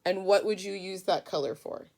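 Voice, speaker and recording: A woman's voice: mid-range voice, normal speed, vocal fry, darkish tone. A woman teacher reading a picture book aloud to children in an instructive, questioning way. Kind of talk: children's read-aloud lesson.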